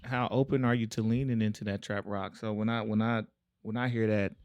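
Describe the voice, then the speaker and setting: Smooth-voiced man asking easy questions. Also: fairly low pitch, fairly even intonation, brisk, a little mellow, dry recording. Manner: easygoing, loose questions with restarts. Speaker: podcast host, interviewer. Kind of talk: casual interview chat.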